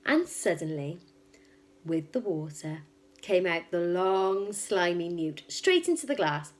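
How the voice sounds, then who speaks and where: A woman reading a children's story aloud like a teacher, with expressive, emphatic and suspenseful narration. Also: on the low side, slow pace.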